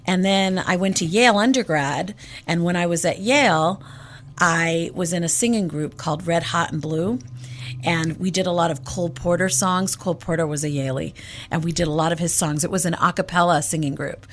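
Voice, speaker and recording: A woman's voice: lowish voice, fairly quick. A woman who grew up in Egypt, a jazz singer telling stories in a casual radio interview, acting out quotes and restarting her words. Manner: animated storyteller, acts out quotes, repeats words when starting. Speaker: jazz vocalist guest.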